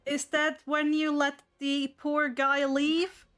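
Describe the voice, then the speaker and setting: A woman's voice: high voice, flat delivery, slow pace, a bit bright, faint music behind. A woman narrating and refereeing a tabletop game as game master.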